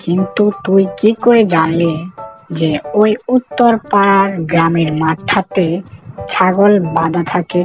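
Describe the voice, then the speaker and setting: A woman's voice: lowish voice, steady tone, measured pace, over music, natural voice. A woman voicing an eager, scheming animal out hunting in a cartoon story. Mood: curious.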